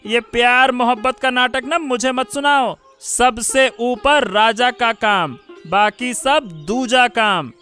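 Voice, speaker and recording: A man voicing a haughty, mocking royal minister in a cartoon, with a sneering, drawn-out, commanding delivery. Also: very high-pitched, bright, forceful tone, soft background music, full character voice. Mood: stern, commanding. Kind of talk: Hindi cartoon voice acting.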